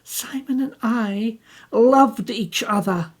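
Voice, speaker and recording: A woman voicing a bitter, passionate young woman who speaks intensely and emphatically, with a husky, raised voice. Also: a little high, takes it slowly, pitched above the performer's own voice. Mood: impassioned.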